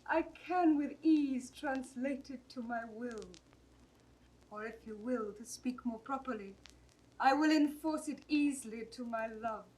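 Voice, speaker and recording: An actress playing a dutiful, composed young princess in a 1960s Shakespeare audio drama, speaking verse carefully and formally. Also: female voice, high voice, a little mellow, rough recording.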